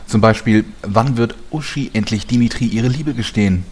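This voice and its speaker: A man's voice: on the low side, moderate pace, darkish tone, husky. A man playing a mock-epic narrator of a comic radio play, announcing the heroes' adventures with mock drama. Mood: teasing suspense.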